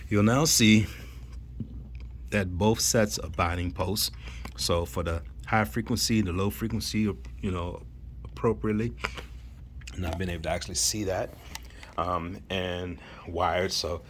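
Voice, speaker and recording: Man, very low voice, casual hobbyist walkthrough, informal rambling delivery, handheld home video with clear background noise. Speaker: home theater hobbyist showing his setup. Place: indoor home theater room.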